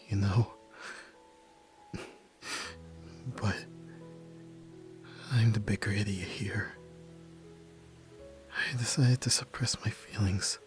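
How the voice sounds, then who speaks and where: A young man playing a guarded tsundere nerd turned yakuza in an ASMR roleplay, halting and stammering with breathy sighs, tender underneath. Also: fairly low pitch. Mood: soft, relieved. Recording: music behind. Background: background music.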